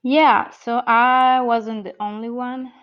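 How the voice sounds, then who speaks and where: A woman in her thirties with an Italian accent, a guest on an interview podcast who answers slowly and hesitantly, with many pauses and restarts. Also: on the high side, level tone, smooth, muffled recording. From born in the US, grew up in Italy.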